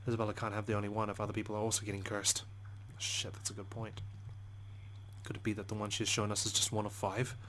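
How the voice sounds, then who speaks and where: A man's voice: on the low side, completely flat delivery, fast, fairly bright tone, a little hiss or hum. A man hosting a let's play, reading visual novel narration expressively with side comments and frequent swearing.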